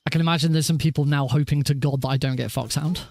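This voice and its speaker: Guy streaming a speedrun, highish voice, rambly casual chat, really dry sound no room. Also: fairly even intonation, fast, gravelly, darkish tone, no echo. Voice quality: naturally high voice for a man. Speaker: livestreaming speedrunner and host. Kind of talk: casual livestream chat over gameplay.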